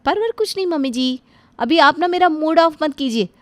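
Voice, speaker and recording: A woman voicing a rude, sharp-tongued young daughter-in-law who snaps scornfully at her mother-in-law. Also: high-pitched, normal speed, no echo, pitched above the performer's own voice. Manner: snappy, scornful, lively.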